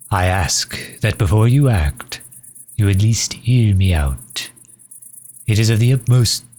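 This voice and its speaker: A man acting a very deep, slow, weary vampire. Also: a little mellow, full character voice. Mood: grave, hesitant. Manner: formal, measured, pleading, archaic wording. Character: courteous, weary male vampire refugee leader. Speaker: voice actor in audio roleplay. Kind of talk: scripted ASMR roleplay acting.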